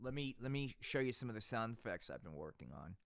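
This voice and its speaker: A man's voice: medium pitch, very animated, quick pace, gravelly, a bit bright, a little hiss or hum. A man narrating an improvised live puppet show, rambling and crude, tossing in "man" often.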